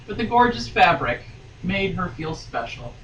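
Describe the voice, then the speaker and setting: A woman's voice: lowish voice, sing-song, moderate pace, fairly bright tone. A woman reading a story aloud in a wry, theatrical way, breaking off for mocking asides. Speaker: podcast guest reading a novel aloud. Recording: roomy, distant mic, faint noise.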